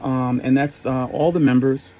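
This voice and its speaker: A man's voice: medium pitch, fairly even intonation, normal speed, a little mellow. A middle-aged man telling a personal story in a casual, rambling, reflective way. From New York area, US. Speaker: storyteller, former concert promoter.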